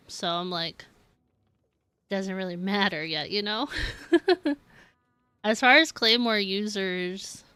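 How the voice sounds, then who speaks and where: A woman's voice: mid-range voice, pushed and bright, smooth, dry recording. A woman chatting casually on a game live stream, rambling and giggly as she talks to viewers.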